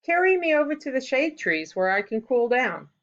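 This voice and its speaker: A woman's voice: high-pitched, very animated, normal speed, voice pushed up. A woman voicing a clever, coaxing female turtle in a storybook tale, on a slightly dull recording. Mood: placating. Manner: coaxing, innocent persuasion.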